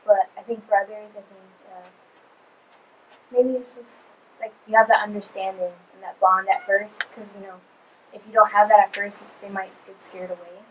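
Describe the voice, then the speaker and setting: A woman's voice: medium pitch, steady tone, moderate pace, phone-quality sound, a little hiss or hum. A young woman student sharing her view casually, hedging as she talks.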